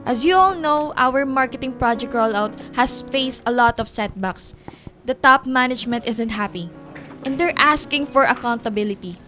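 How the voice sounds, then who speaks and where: A woman's voice: on the high side, normal speed, a bit bright, faint music behind, lightly acted. An actress playing an imperious, threatening female marketing boss in a radio drama, speaking formally and sternly.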